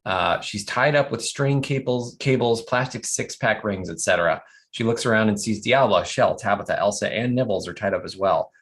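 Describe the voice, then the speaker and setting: A man's voice: fairly low pitch, normal speed, few pauses, fairly bright tone. A man reading scripted stage directions as narrator at a comedy table read.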